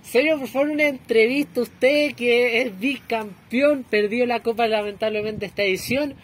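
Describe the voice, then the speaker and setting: A man's voice: very high voice, normal speed. A young Chilean man joking around as a mock post-match reporter, recorded outdoors by a bench on a distant camera mic. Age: young adult. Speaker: mock sports interviewer and player. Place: outdoors by a bench at a sports venue.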